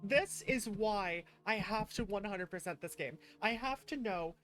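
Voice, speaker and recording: A woman's voice: medium pitch, unhurried. A woman livestreaming gameplay commentary, emotive and chatting to her viewers, sometimes trailing off. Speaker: VTuber streamer. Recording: loud game music behind voice. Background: video game music.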